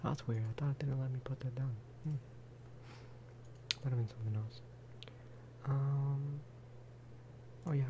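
Casting: male ASMR gamer, hushed soft-spoken delivery, middle pitch, unhurried, noisy recording. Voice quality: soft, hushed voice, at times breathing into whisper. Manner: unhurried, low-key, musing aloud. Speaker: gaming video creator.

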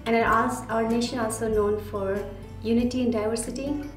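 A woman in her thirties with an Indian accent speaks steadily, in a fairly high but flat voice. She sounds a little far from the mic, in an echoey room. Guitar plays softly behind. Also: brisk. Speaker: interviewee. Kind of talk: reflective testimony. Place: indoor studio with dark backdrop. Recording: roomy, slightly distant, guitar under. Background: soft guitar music.

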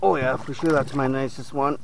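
A man vlogging from a small boat on a lake, chatting casually to viewers and getting excited as he fishes. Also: mid-range voice, a little fast, vocal fry, background noise. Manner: excitable, talks to viewers as "you guys". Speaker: fishing vlogger. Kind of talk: casual on-water fishing commentary.

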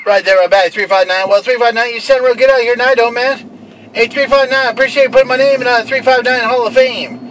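High-pitched man making playful CB call-outs. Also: fairly even intonation, quick pace. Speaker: CB radio operator. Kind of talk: CB radio calling chat with repeated handles. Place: inside a vehicle. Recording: distant mic in noisy vehicle.

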